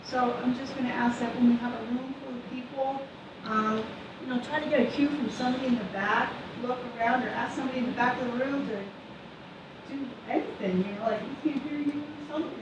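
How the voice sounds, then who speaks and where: A woman's voice: on the high side, a little fast. Chatty woman at public comment, muffled and echoey. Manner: chatty, filler-heavy, animated. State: deaf in one ear. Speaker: resident commenting. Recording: dull echoey room audio, distant, noisy.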